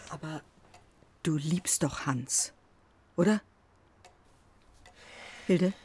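A woman's voice: sits low, extremely slow, dark tone, slightly rough, heavily acted. A woman playing a practical, protective middle-aged mother in a radio drama, matter-of-fact and soothing.

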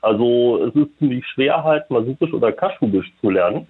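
A man's voice: mid-range voice, expressive, fairly quick, slightly rough. Hesitant man on a tinny phone line. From Germany. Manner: rambling, hesitant, many fillers. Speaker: caller. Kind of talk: casual call-in chat.

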